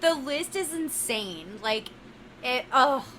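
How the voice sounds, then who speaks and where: A woman's voice: high voice, animated, takes it slowly, bright, forceful tone, slight background noise, low-quality recording. A woman co-hosting a podcast in casual, eager chat, often saying "like". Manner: eager, fills talk with "like". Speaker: podcast co-host.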